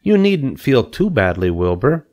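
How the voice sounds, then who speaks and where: A man's voice: medium pitch, big pitch swings, measured pace, darkish tone, dull audio, pitched above the performer's own voice. A man voicing a wise, kindly female spider in a storybook read-aloud, patient and explaining.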